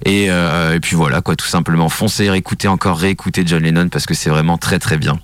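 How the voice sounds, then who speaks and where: A man hosting a casual music chat on the radio, talking in a quick, conversational way. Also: low-pitched, steady tone, no room sound. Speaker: radio host.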